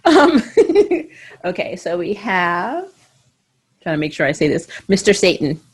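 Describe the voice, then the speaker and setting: A woman's voice: fairly low pitch, sing-song, measured pace, breathy edge. A middle-aged woman hosting a casual, chatty interview. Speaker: interview host. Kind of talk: casual chat.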